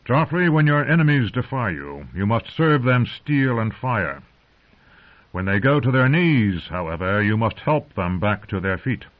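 A man voicing a stern, commanding old patriarch in a fantasy audiobook, speaking in a measured, authoritative manner. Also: medium pitch, sing-song, pitched above the performer's own voice. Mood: stern lecturing. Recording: narrow, phone-like lo-fi audio.

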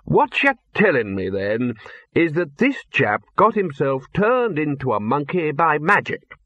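A man reading an audiobook voices a gruff, blunt old male wizard chief who barks short phrases. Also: on the high side, very animated, a little slow, bright, forceful tone, no echo, dull audio, voice pushed up, full character voice. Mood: puzzled. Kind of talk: audiobook character voice.

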